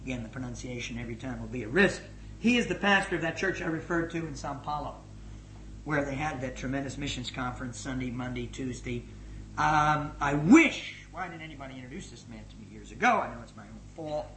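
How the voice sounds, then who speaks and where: A middle-aged man giving a spoken report as a missions leader to a gathering, energetic and emphatic, swinging between loud and hushed. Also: mid-range voice, a little fast. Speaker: missions leader addressing his organisation. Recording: echoey room with faint noise.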